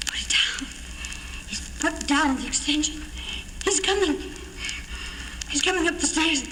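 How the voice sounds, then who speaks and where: Terrified high-voiced woman, old radio thriller. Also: a little slow, in a whisper. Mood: hushed terror. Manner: breathless, melodramatic, pleading. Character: terrified, desperate bedridden woman pleading on the telephone. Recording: noisy old radio recording, long reverb.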